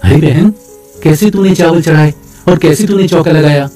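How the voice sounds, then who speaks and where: A mellow, dark-toned young brother played by a man speaks over music in a storybook tale. He sounds gentle and patient, soft-spoken and fond of his family. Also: a little high, moderate pace, lightly acted. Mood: gently chiding.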